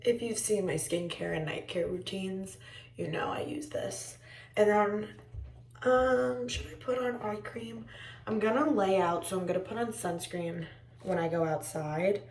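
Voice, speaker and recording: Raspy tired young woman vlogging. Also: mid-range voice, fairly even intonation, a little slow, a little mellow, echoey room, recorded from a distance, slight background noise. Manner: chatty, rambling, talks to viewers as friends. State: tired and low-voiced. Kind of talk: casual vlog chat. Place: indoors at home.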